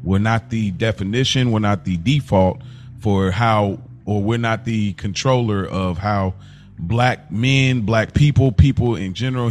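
A man hosting a podcast and moderating the discussion in a casual way. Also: lowish voice.

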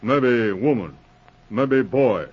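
An actor playing a terse, stolid tracker in halting broken English, in 1940s radio drama style. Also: male voice, medium pitch, animated, breathy edge, full character voice. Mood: stolid, matter-of-fact. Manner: halting, clipped pidgin phrases. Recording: dull lo-fi vintage radio recording.

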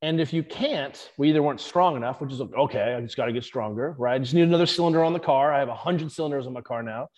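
A man, a strength and conditioning coach, explaining his views in an interview with animated emphasis. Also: medium pitch, fast, few pauses, in a large hall.

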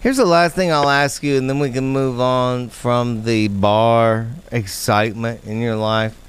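A man hosting a podcast in loud, profane, joking casual chat. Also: mid-range voice, steady tone, a little slow, clear, resonant tone. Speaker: podcast host.

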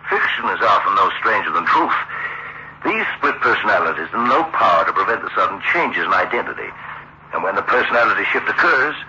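A man's voice: fairly low pitch, very animated, a little fast, pushed and bright, full character voice. An actor playing an assured, clinical male psychiatrist with a formal manner in a 1960s radio drama. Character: authoritative, measured male psychiatrist. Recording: muffled, very noisy old radio recording.

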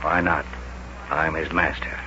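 A man's voice: extremely low pitch, level tone, measured pace. An American actor playing a huge, slyly sinister middle-aged man in a 1940s radio drama, dry and evasive. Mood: sly, amused. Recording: dull, noisy 1940s radio recording.

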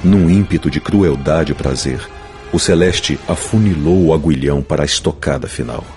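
A man's voice: rumbling low, normal speed, a little mellow. A man narrating a fantasy novel in a dramatic, scripted audiobook style. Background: music.

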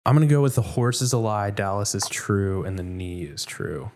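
A man's voice: on the low side, expressive, moderate pace, darkish tone, slight background noise. A man from Houston hosting a casual interview, rambling with lots of fillers. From Houston, Texas. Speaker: host.

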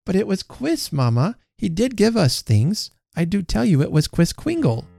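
A man acts out an eager little child from a storybook, pitched well above his natural voice. The child is earnest and excited, insisting breathlessly in childish grammar, with soft music behind. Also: normal speed, creaky, no echo, studio quality, full character voice. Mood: eager insistence.

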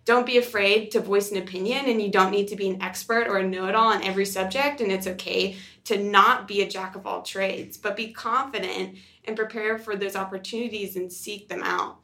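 A woman's voice: medium pitch, fairly even intonation, brisk, few pauses, pushed and bright. A woman co-hosting a casual podcast discussion in an affirming, conversational manner. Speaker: podcast co-host.

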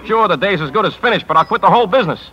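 An actor playing a sardonic, stubborn newspaper editor with a clipped, wry manner in a 1950s radio drama. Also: male voice, sits high, lively intonation, very fast, muffled recording. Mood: pleading, insistent. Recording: 1950s radio broadcast with faint noise.

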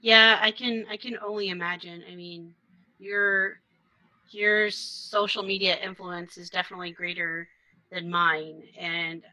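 A woman host chats casually, laughing often and hedging with fillers. Her voice is low but bright and forceful, and it sounds dry and close. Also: fairly even intonation, measured pace, no echo, low-quality recording. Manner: giggly, hesitant with fillers. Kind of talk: casual chat.

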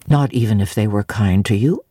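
A woman voicing a kindly gentleman apothecary in a storybook, gentle, musing and questioning. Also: extremely low pitch, normal speed, husky, no room sound, clean studio sound, pitched below the performer's own voice. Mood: probing. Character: kindly, probing middle-aged gentleman apothecary.

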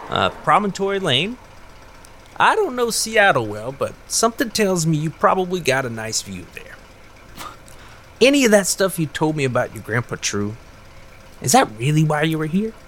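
An actor playing a nosy small-town fish and wildlife officer with a folksy, needling manner in an audio drama. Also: male voice, fairly high pitch, big pitch swings, normal speed, fairly bright tone, slight background noise. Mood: probing, folksy. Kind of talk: naturalistic audio drama dialogue.

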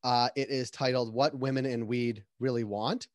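A man's voice: mid-range voice, fairly even intonation, normal speed, dry recording, clean studio sound. A man hosting a casual podcast, speaking hesitantly with fillers. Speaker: podcast host.

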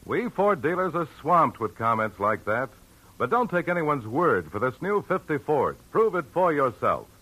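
A man reading an old-time radio commercial, upbeat and persuasive. His voice sits slightly high and moves a lot. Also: very animated, moderate pace, pushed and bright, low-quality recording. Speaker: ad announcer. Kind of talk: scripted radio ad read.

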